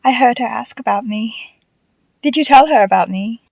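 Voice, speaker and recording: Casting: woman voicing young animatronic puppet boy, high-pitched, shy but cheeky, close dry audio drama sound. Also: normal speed, vocal fry, darkish tone, no room sound. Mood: earnest.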